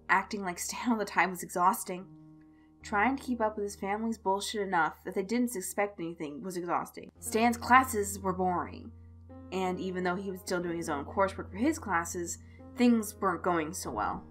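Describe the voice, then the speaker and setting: A woman's voice: medium pitch, a bit bright. Woman narrating a story over music. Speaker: fan fiction narrator. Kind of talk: expressive scripted story narration. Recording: music behind the voice. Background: instrumental music.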